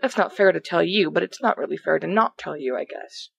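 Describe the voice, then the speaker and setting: A woman playing an excitable young man, reading his letter aloud in a rambling, sardonic, dramatic manner. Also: fairly low pitch, brisk, creaky, dull audio. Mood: unnerved, candid.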